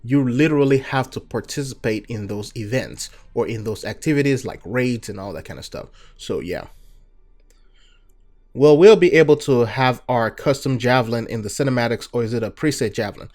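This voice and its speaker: A young man from Nigeria with a Nigerian accent, hosting a gaming channel and chatting through viewer questions in a relaxed, filler-heavy way. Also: mid-range voice, moderate pace. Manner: relaxed, chatty, many fillers and "you know"s. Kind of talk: casual Q&A talk to viewers.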